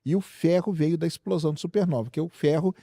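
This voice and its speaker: A man's voice: a little high, fairly even intonation, fast talker, vocal fry, dark tone, no room sound, studio quality. A man with a Brazilian accent hosting a casual science chat, addressing the audience with rhetorical questions and everyday examples. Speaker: science show host.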